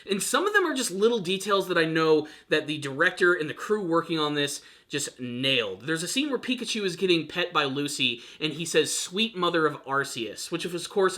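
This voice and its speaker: Bright, higher-pitched man giving an enthusiastic review. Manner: gushing, emphatic, stretches key words. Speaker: film review channel host. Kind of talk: enthusiastic video review commentary to camera.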